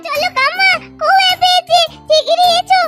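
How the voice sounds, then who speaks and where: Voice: extremely high pitch, fairly even intonation, fairly quick, pitched above the performer's own voice. A performer voicing a frightened, whiny little village girl who pleads urgently in a children's doll story. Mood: hurried. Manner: whiny, exclaiming, repeats calls and pleas. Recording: children's music behind the voice.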